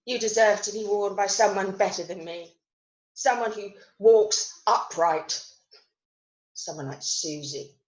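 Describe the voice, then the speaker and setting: An actress playing a self-critical, wry and wistful woman recovering from an accident, telling her story in a theatrical monologue with deliberate pauses. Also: medium pitch, lightly acted. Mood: dismayed, self-pitying. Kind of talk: dramatic monologue reading of new writing. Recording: roomy, echoing online recording.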